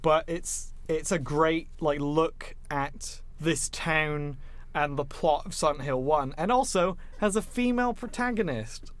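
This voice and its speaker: A man's voice: on the high side, measured pace. A man co-hosting a podcast, talking casually and emphatically as an opinionated fan, with some swearing. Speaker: podcast co-host. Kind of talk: casual film discussion.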